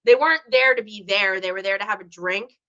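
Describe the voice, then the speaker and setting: A bright, chatty woman hosts a casual live stream and talks straight to her viewers, greeting them by name and rambling from one story to the next. The audio is slightly muffled, like a home streaming setup. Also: mid-range voice, brisk. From Chicago area. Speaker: livestream host.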